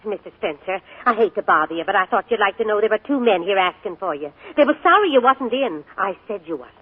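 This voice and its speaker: A woman's voice: mid-range voice, lively intonation, quick pace, husky. An actress playing a chatty, fussy landlady with homespun speech, in 1940s radio acting. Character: fussy, chatty middle-aged boarding-house landlady. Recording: tinny 1940s radio broadcast.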